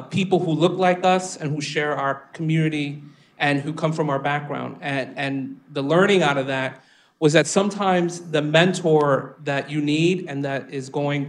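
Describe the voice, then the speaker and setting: A man on a discussion panel, telling a reflective story about mentorship in a measured way. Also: on the high side, steady tone. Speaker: panelist, venture capitalist. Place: event space with audience.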